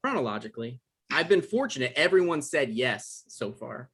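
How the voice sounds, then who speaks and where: Man as awkward novelist, stammering, defensive, lively swooping intonation, table-read drama. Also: medium pitch, normal speed, breathy edge. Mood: weary, guarded. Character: Michael, evasive, awkward novelist visiting his ex. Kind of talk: live table read of a comedy-drama script.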